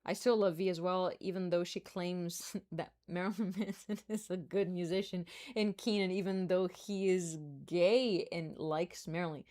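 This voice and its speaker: A young woman with a Brazilian accent chats casually on her live stream, her voice sitting a little low. She talks playfully, laughs often and throws in asides. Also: fairly even intonation, moderate pace, few pauses, mellow.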